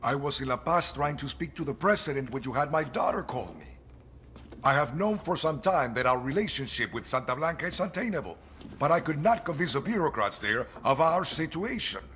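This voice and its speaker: Forceful, weary general. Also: male voice, on the high side, lively intonation, brisk, a little hiss or hum. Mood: troubled. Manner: formal, grave. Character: weary, principled Bolivian general, regretful but resolute. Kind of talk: video game cutscene acting.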